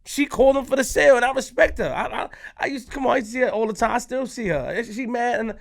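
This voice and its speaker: A man co-hosting a podcast, talking in loud, animated, streetwise banter full of restarts. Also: very high voice, fast talker, vocal fry, dry recording, studio quality. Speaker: podcast co-host. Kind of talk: casual chat.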